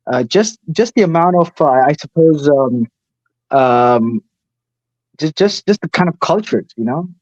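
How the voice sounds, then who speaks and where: A hesitant young man on a dull, dry call line, his mellow voice warm and low-key. He stumbles and restarts, repeating words before landing a thought. He grew up between the Gulf and India. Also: lively intonation, a little slow, no room sound. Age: 20s. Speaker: caller. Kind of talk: casual chat.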